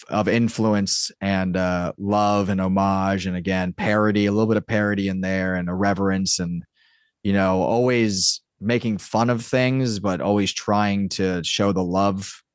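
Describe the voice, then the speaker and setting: A man's voice: low voice, steady tone, normal speed, smooth, no echo, studio quality. A man speaking casually as an interview guest, explaining in a rambling way peppered with "like" and "you know". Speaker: podcast guest, audio drama creator and writer.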